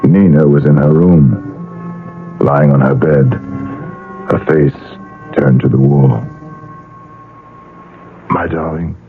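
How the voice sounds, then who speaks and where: Tormented father for radio drama: very low, slow-paced, intense, heard through muffled old radio. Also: steady tone, vocal fry, heavily acted. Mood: tender, remorseful. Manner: slow, deliberate, intense delivery. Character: anguished father Max, brooding, guilt-ridden, driven to violence. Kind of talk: radio drama acting and first-person narration. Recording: muffled phone-like old radio, faint music.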